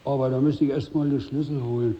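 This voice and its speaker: An actor playing an unhurried middle-aged school caretaker, terse and colloquial, in a 1950s German radio drama. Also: male voice, mid-range voice, fairly even intonation, slight background noise, lightly acted. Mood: offhand, obliging. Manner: offhand, colloquial, terse, tag questions. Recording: dull old radio drama recording.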